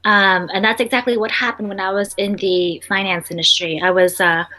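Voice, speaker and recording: A woman's voice: medium pitch, steady tone, normal speed, sharp tone, poor audio. A young woman entrepreneur, a native Vietnamese speaker, answering interview questions in a chatty way full of ums and restarts.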